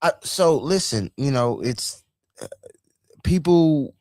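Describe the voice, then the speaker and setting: A man's voice: on the high side, lively intonation, slow pace, darkish tone, no echo. Emphatic man in his forties, dry sound. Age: 40s. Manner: emphatic, lists points in short bursts. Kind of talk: casual political chat.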